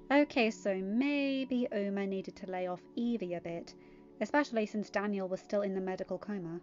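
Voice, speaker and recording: A woman's voice: mid-range voice, darkish tone. A woman narrating a story as an amateur audiobook reader. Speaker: fan fiction reader. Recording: dull lo-fi audio, music behind. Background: music.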